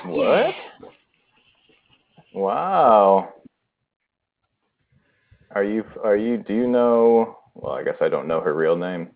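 A man's voice: lowish voice, big pitch swings, slow, gravelly, pauses often. A man hosting an online improv session, chatty and welcoming to new players. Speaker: host of an online improv game. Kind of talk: casual voice-chat hosting. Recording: tinny voice-chat line.

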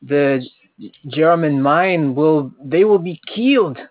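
A man's voice: on the high side, animated, no room sound. A man playing an earnest Soviet factory comrade who halts and hesitates, in an improvised comedy scene over a tinny phone-like line. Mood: hesitant. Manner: hesitant, halting, many uhs.

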